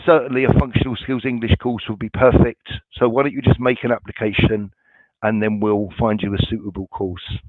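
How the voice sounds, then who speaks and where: A man's voice: fairly low pitch, steady tone, brisk, no room sound. A man from a college staff answering viewer questions in a webinar, informal and reassuring, with many ums and restarts. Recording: dry, tinny phone-like sound.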